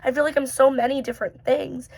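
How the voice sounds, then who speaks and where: A woman with a slightly high voice that is still hoarse after losing it talks to camera. She rambles and muses, tossing in fillers and questioning herself as she goes. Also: fairly even intonation, quick pace, darkish tone, low-quality recording. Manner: rambling and musing, many fillers and self-questions. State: hoarse, recovering from lost voice. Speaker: vlogger. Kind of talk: casual reflective talk to camera.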